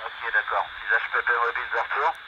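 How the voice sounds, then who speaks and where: A man's voice: medium pitch, flat delivery, brisk. An older man chatting casually over amateur radio, giving reflective, opinionated views through a two-way FM link. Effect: two-way FM radio transmission. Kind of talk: casual ham radio conversation. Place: in a vehicle, mobile station. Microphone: radio transceiver microphone. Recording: muffled, very noisy FM radio link.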